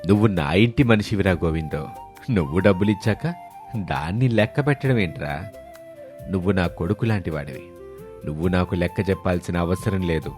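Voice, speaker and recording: A man voicing a kindly older landlord in an animated moral story, fatherly, affectionate and authoritative. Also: deep voice, a little fast, faint music behind, natural voice.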